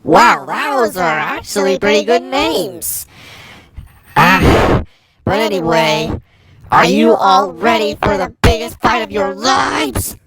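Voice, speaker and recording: A woman's voice: mid-range voice, animated, slow, a bit bright. A woman voicing a cocky, taunting chaos monster spoiling for a fight in an amateur action drama. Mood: mocking. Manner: taunting, challenging.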